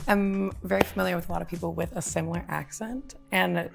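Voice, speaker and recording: A woman's voice: lowish voice, fairly even intonation, a little fast, gravelly. A woman in her twenties in a video panel, speaking in a hesitant, hedging way. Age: 20s. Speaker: panel participant. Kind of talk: casual discussion.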